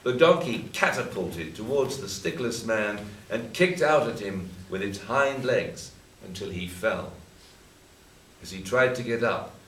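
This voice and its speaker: A man reading a passage of prose aloud to an audience at a public reading, in a measured, steady way. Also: on the low side, a little hiss or hum. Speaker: co-author at a reading. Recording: echoey hall, taken from a distance.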